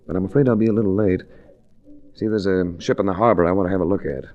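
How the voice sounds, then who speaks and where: An actor playing a cool, persistent investigator in a 1940s radio drama, with a wry, probing, clipped manner. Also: male voice, deep voice, fast, highs cut off, close to an everyday voice. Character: cool, persistent hard-boiled male investigator narrating his own adventure. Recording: noisy restored 1940s radio recording.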